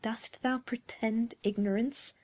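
An enraged young man, acted out by a woman in a dramatic storybook tale. He is venomous and scornful, hurling archaic phrases in forceful, declamatory outbursts. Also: on the high side, fairly even intonation, slow. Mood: scornful challenge.

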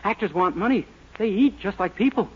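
A man's voice: sits high, lively intonation, fairly quick. An actor playing a naive, eager young man with boyish gee-whiz earnestness in a 1940s radio sitcom. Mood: doubtful, naive. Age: young adult. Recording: scratchy, muffled 1940s radio recording.

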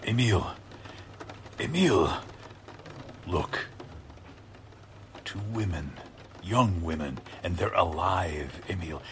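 A creepy mad scientist for a radio play, slow and gravelly, intense, bossing his helper around. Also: sits low, lively intonation, a little hiss or hum, heavily acted. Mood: excited, scheming. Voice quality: husky, gravelly. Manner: slow, intense, breathy whispering and urgent commands. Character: deranged male scientist, obsessive, sinister, commanding his assistant. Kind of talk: radio drama acting.

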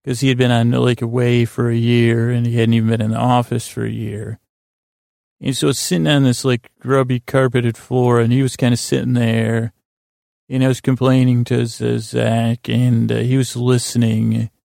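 Gravelly, creaky American man rambling softly along. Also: medium pitch, flat delivery, no echo, studio quality. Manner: halting, circling, tangent-prone, self-deprecating. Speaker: podcast host. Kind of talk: rambling, meandering bedtime storytelling monologue.

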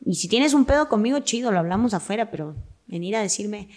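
A woman's voice: medium pitch, expressive, quick pace, darkish tone. A young woman with an Argentine accent, a comedic actress telling stories animatedly as a podcast guest and acting out quoted dialogue. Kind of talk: casual interview chat.

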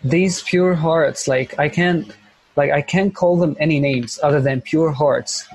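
A man's voice: fairly high pitch, unhurried, no echo, low-quality recording. A young man from Syria with a Syrian Arabic accent, speaking English as a second language, talking earnestly and repeating phrases as he searches for words. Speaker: interview guest, volunteer teacher. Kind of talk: earnest conversational interview.

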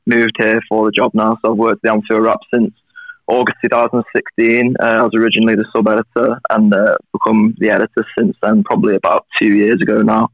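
A man in his twenties, a guest on a call, answering quickly and hedging with "sort of". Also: on the low side, level tone, no room sound. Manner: quick, hedging with 'sort of'. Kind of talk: casual interview answers. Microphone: phone or call. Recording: dull call audio.

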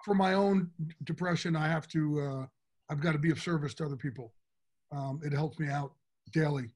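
Big-voiced, lively man, fairly high. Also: normal speed. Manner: big-voiced, enthusiastic, from the heart. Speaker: interview guest. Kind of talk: casual interview talk.

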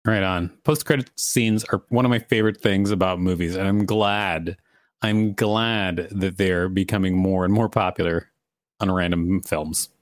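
A man's voice: deep voice, steady tone, a little slow, no echo, clean studio sound. A man co-hosting a podcast, reading an article aloud and chatting casually about it. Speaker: podcast co-host.

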